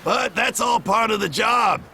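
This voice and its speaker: Gravelly, high-pitched, boisterous veteran shipwright. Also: male voice, unhurried, pushed and bright, a little hiss or hum, exaggerated voice. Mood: stern, blunt. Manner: blunt, hearty, rough colloquial talk. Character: gruff, boisterous veteran shipwright mentor, tough but approving. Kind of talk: video game cutscene voice acting.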